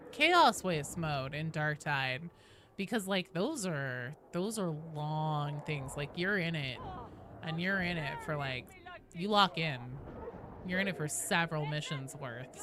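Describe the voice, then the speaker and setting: A woman's voice: lowish voice, pushed and bright, a little hiss or hum. A woman doing casual live game commentary as a streamer, chatty, exclamatory and freely swearing. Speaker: game livestreamer.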